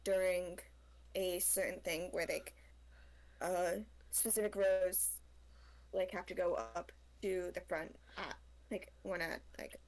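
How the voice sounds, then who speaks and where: A woman chatting casually as a game stream companion, in a slightly halting way. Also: on the low side, fairly even intonation, darkish tone. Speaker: stream co-commentator, friend. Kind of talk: casual chat over gameplay.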